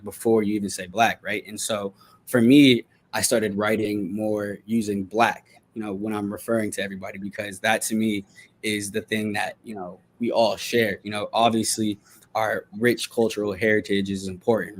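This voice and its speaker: An American man from Delaware giving earnest, explanatory interview answers in a conversational way, often checking in with "right?" and "you know". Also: lowish voice. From Delaware, United States. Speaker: journalist and podcast guest.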